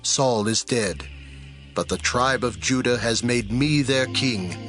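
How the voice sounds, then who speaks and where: An actor playing a gracious, solemn king in a Bible audio drama, speaking in a stately, deliberate manner. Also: medium pitch, fairly bright tone, soft background music. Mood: solemn blessing. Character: newly crowned king, measured, gracious and solemn.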